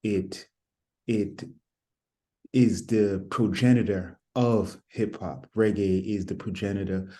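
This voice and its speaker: A man hosting an interview, asking slow, drawn-out questions with many fillers. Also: on the low side, steady tone, dark tone. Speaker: interviewer host. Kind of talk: casual interview talk.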